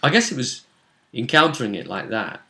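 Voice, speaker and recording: A man's voice: mid-range voice, very animated, brisk, pushed and bright. Man, tabletop game master. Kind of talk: casual chat recapping a tabletop role-playing session.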